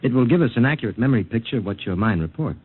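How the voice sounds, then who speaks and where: An actor playing a commanding, clinical scientist in a mid-century radio drama, questioning in a measured, authoritative and deliberate way. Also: male voice, mid-range voice, lively intonation, slightly rough. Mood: probing, clinical. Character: commanding male scientist, clinical and probing interrogator. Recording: tinny narrow-band vintage radio broadcast, music.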